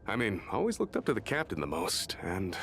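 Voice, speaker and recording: Rattled, bitter low-voiced soldier. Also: male voice, huge pitch swings, fast, vocal fry, a bit bright, full character voice. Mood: uneasy, confiding. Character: rattled, bitter male enemy soldier, grudgingly confessing. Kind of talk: video game voice acting. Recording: music behind the voice. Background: game music.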